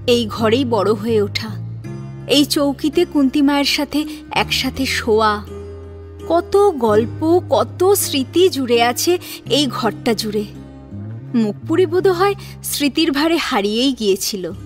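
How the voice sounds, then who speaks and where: A woman's voice: high voice, expressive, unhurried, faint music behind. A woman narrating a scripted family drama as an audio story.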